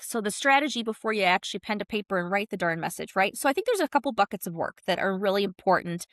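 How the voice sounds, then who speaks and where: A woman's voice: a little high, fairly bright tone, no room sound, studio quality. A woman explaining ideas as an interview guest, speaking conversationally in an emphatic, punchy way with colloquial asides. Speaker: guest, brand consultant and author.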